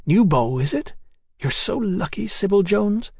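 A man's voice: high-pitched, big pitch swings, a little slow, creaky, a little mellow, husky, no room sound, studio quality, voice pushed up, heavily acted. A man voicing a raucous, kindly young Victorian working woman in an audiobook, chatty, nosy and breezy. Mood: envious, teasing.

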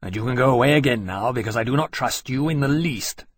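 A man's voice: on the low side, moderate pace, breathy edge, no echo. A man voicing a young male dragon who speaks bluntly and defiantly. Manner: earnest, blunt.

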